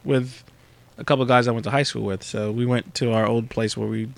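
Podcast co-host, male, thirty. Creaky, gravelly mid-range voice, laid-back, informal, swears. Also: medium pitch, steady tone, brisk. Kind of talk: casual podcast chat.

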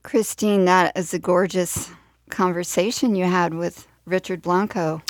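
A woman hosting a conversational radio interview, phrasing her questions in a measured, halting way. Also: on the low side, steady tone, dry recording. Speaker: radio show host.

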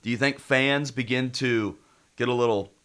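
A man's voice: mid-range voice, steady tone, moderate pace, a bit bright, clear, resonant tone. An American man hosting casual sports talk radio, congested with a head cold.

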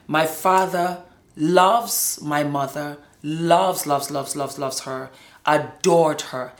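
Echoey, lower-pitched middle-aged woman, emphatic storyteller. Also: steady tone, slow. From Nigeria and Scotland. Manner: emphatic, repeats words for stress. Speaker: former model telling her life story. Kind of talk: personal testimony to an interviewer. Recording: roomy, echoing indoor sound.

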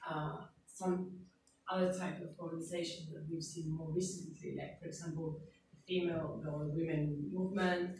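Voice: mid-range voice, darkish tone. Argentine-accented questioner from far off. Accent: Argentine Spanish. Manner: polite, hesitant. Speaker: audience member. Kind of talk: asking a question from the floor. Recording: echoey hall, voice from far off, room noise.

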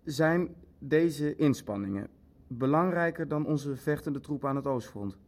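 An actor playing a cautious, practical wartime official in an audio drama, speaking in a deliberate, questioning manner. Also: male voice, on the high side, animated, a little mellow. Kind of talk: Dutch audio drama acting.

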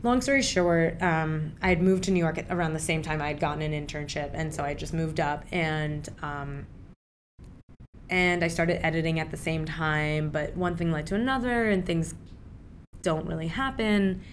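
A woman's voice: low-pitched, normal speed, slight background noise. A young woman from Pakistan whose native tongue is Urdu, a filmmaker guest talking casually and animatedly in an interview, with lots of fillers.

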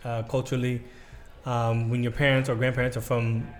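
An American man in his thirties, a podcast guest talking in a relaxed, thoughtful way with many fillers. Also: mid-range voice, a little fast. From United States. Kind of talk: casual chat.

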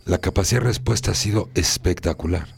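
A man's voice: deep voice, fast, vocal fry, a little hiss or hum. A man hosting a radio talk show, moralizing and repeating phrases for emphasis. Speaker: radio host.

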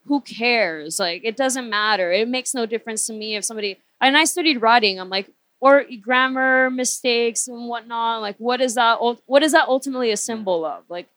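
A woman's voice: fairly high pitch, a little fast, few pauses, fairly bright tone, no room sound. A woman who grew up speaking Spanish, talking casually and candidly about her own experience as a panelist. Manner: candid, self-reflective.

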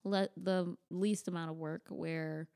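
A soft-spoken woman with a slightly low voice talks in an easygoing, casual way. She pauses often on ums and keeps her tone gentle and relaxed throughout. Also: clean tone. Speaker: guest, paint-and-sip art instructor. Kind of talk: casual podcast interview.

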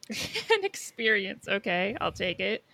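A woman's voice: mid-range voice, sing-song, takes it slowly, gravelly, sharp tone, no echo. A woman co-hosting a casual podcast about film and TV, talking in an emphatic, conversational way. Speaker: podcast co-host.

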